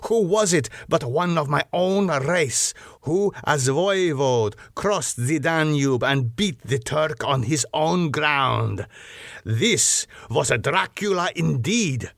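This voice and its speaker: Proud ancient vampire nobleman: boastful, declamatory gothic storybook villain, measured pace, dry close sound. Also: fairly high pitch, animated, vocal fry, dry recording, voice pushed up, full character voice. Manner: grandiose, declamatory, rhetorical questions. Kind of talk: dramatic gothic audiobook character reading.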